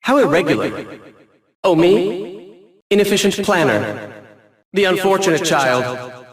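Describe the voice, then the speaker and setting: Smug eccentric genius villain, youthful high voice, animated delivery, precise theatrical diction, video game, echoey. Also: male voice, slow. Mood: cool disdain.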